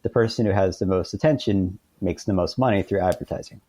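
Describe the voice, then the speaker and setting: Low-pitched, mellow man in conversation. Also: fairly quick, no echo. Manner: hesitant, with frequent 'you know' and repeated words. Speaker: author interview guest. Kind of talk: conversational explanation in an interview.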